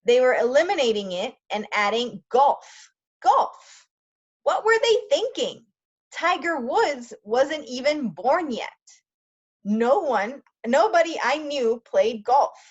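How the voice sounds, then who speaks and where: A woman teacher reading a story aloud for language learners, pausing often to explain words in quick asides. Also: high voice, very animated, husky, lo-fi sound.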